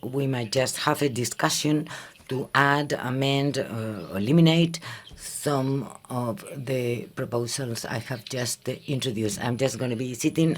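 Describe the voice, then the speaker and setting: Halting man chairing a meeting. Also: medium pitch. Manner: measured, halting with frequent fillers. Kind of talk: formal meeting address. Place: conference hall.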